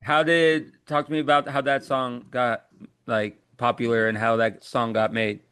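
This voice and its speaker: A man's voice: medium pitch, flat delivery, normal speed, fairly bright tone, clear, resonant tone, dry recording. A man hosting an interview, asking questions in a casual, conversational style. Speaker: podcast host interviewer.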